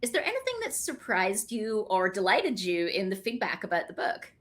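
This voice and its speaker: A woman's voice: mid-range voice, big pitch swings, moderate pace, fairly bright tone. A woman hosting a conversational podcast interview, effusive and encouraging with her guest.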